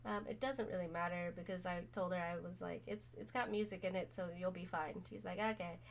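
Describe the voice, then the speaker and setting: A woman streamer chatting casually to camera, hoarse from being sick, rambling with many pauses and fillers. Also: fairly low pitch, steady tone. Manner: rambling, many ums, soft-spoken. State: hoarse, recovering from illness.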